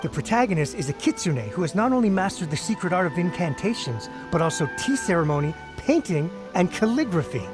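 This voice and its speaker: A man's voice: high voice, very animated, brisk, few pauses, over music. A breezy, candid male reader. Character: candid young male thrill-seeking reader.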